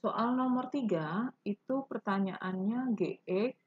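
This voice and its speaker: A woman's voice: medium pitch, slow pace, husky, dull audio. A woman teaching a math lesson, explaining each step patiently and answering imagined student questions.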